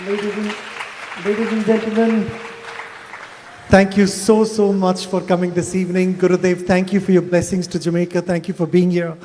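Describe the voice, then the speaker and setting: High-voiced male emcee in an echoing hall. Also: flat delivery, moderate pace, warm tone. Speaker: master of ceremonies. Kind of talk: event emcee thanks. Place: large hall with audience. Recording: reverberant hall, crowd and hiss.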